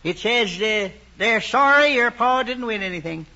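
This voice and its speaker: A man's voice: very high-pitched, animated, moderate pace, pushed and bright, exaggerated voice. An actor playing a friendly, nosy rural mailman in an old drama, chatting folksily in a Southern American accent. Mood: friendly, curious. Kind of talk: vintage rural screen drama acting. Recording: muffled old film soundtrack, clear noise.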